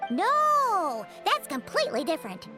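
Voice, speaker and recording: A woman voicing a tiny, childlike female companion in a video game, squeaky, chatty and animated. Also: unhurried, over loud music, exaggerated voice. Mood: helpful, explaining. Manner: animated, informal.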